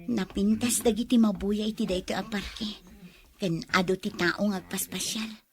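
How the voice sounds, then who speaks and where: A woman's voice: medium pitch, fairly even intonation, normal speed, natural voice. An actress playing a polite, caring young woman in a radio drama, with a Filipino accent. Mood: shy, polite. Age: young adult.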